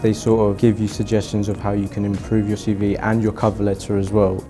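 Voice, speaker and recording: Flat-voiced young man from Portugal. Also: lowish voice, fairly quick. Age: young adult. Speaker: student. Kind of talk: interview testimonial. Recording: music bed under voice.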